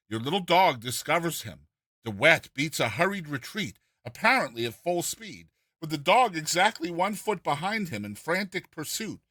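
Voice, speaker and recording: Male narrator for humorous short fiction: crisp forward brightness, mock-earnest theatrical reading, very dry studio-like sound. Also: mid-range voice, dry recording. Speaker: reader and narrator of a short story. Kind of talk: dramatized storytelling narration of a humorous classic essay.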